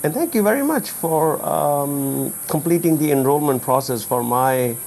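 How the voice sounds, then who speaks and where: A man from Pakistan, a native Urdu speaker, chatting casually, giving frequent backchannels and climbing high in pitch when excited. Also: unhurried, faint music behind. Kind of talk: casual conversation.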